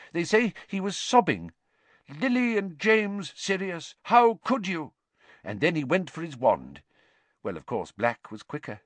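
Man putting on a pompous minister, middle-aged, grave, fussy, raised swooping pitch, storybook character. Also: dry recording. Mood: grave, sorrowful.

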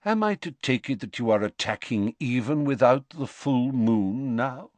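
A man voicing a calm, courteous elderly male wizard who speaks quietly. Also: medium pitch, measured pace, dry recording, voice pushed up, lightly acted.

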